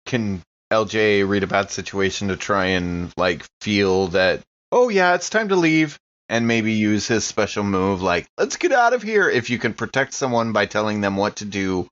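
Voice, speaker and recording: A man's voice: fairly low pitch, big pitch swings, normal speed, a bit bright, muffled recording. A man chatting casually and animatedly as a player in a tabletop game.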